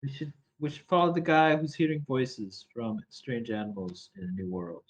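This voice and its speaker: A man with a husky voice chatting playfully as a player in a tabletop game. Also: medium pitch, expressive, moderate pace, poor audio. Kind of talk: casual tabletop play.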